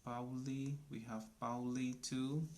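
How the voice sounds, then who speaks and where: A man's voice: medium pitch, level tone, a little mellow, slight background noise. A man in his thirties from Colombia, teaching a live online English class for beginners, speaking simply and greeting viewers by name. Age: 30s. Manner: slow, simple speech for beginners.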